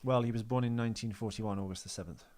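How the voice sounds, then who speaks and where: A man with a lowish voice co-hosts a casual film podcast. He rambles through trivia with a sarcastic edge and the odd swear word. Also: quick pace, darkish tone. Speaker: podcast co-host.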